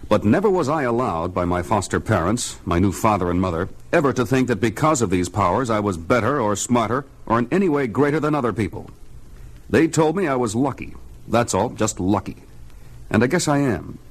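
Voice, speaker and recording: A man's voice: mid-range voice, animated, a little fast, lightly acted. An actor playing a modest, earnest, fatherly superhero, narrating in the first person in an audio comic. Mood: reflective. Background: music.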